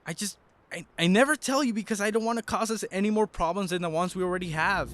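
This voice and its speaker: A man's voice: sits high, fast talker, a bit bright, no room sound. An actor playing an earnest, shy young man in an audio drama, with a hesitant way of talking. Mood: frustrated. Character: John, devoted young husband, also shy teenage student.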